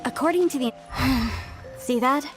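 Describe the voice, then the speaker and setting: An actress playing an earnest female guide and investigator in a video game, with a polite, expressive manner. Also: high-pitched, a little slow, over music. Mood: earnest, puzzled.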